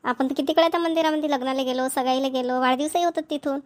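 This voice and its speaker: High, rapid village mother voiced by a woman. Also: steady tone, close to an everyday voice. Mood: insistent. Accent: Varhadi Marathi. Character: busy, dutiful middle-aged village mother and wife. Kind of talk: Marathi cartoon comedy dialogue.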